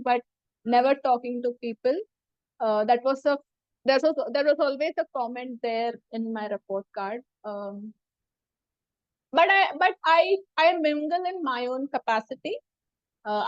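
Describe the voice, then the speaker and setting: A woman's voice: on the high side, no echo, muffled recording. A woman in her thirties from India, a psychologist giving a hesitant self-introduction full of fillers and restarts. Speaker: psychologist presenting about herself. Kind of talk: casual self-introduction talk.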